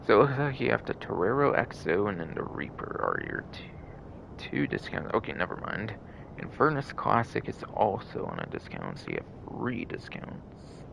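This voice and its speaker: Man, heavy vocal fry, very animated intonation, casual livestream commentary, rambling and repetitive, faint background rumble. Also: normal speed. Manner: rambling, repeats and second-guesses himself. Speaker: game livestream host.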